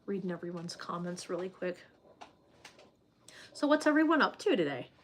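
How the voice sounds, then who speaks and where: A woman chatting on a casual home livestream, reading viewer comments aloud as she goes. Her voice sits low, and she talks loosely, with lots of "like" and "um". She sounds as if she is speaking into a webcam setup. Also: sing-song, normal speed, slight background noise. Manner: chatty, loose, many fillers like "like" and "um".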